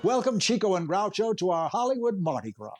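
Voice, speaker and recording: A man's voice: sits high, sing-song, brisk, loud music behind the voice. A man playing a polite, eager host of a 1930s radio variety show. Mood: polite, upbeat. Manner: courteous, upbeat.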